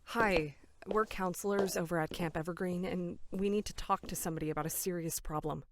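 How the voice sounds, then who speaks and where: A woman's voice: fairly low pitch, steady tone, brisk, very noisy, lightly acted. A woman playing a serious, determined young camp counselor in a horror audio drama, earnest and firm. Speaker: voice actor.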